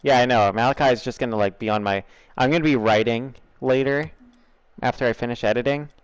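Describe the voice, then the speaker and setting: A man's voice: medium pitch, a little fast, smooth, no room sound, low-quality recording. A young American man with a General American accent, chatting loosely and laughing as he streams a game and talks to his chat. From United States. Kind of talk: casual livestream chatter over gameplay.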